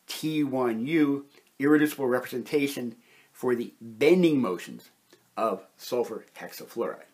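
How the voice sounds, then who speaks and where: Husky-voiced man teaching step by step. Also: medium pitch, moderate pace, darkish tone. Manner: methodical, explanatory. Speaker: chemistry instructor. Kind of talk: step-by-step whiteboard explanation.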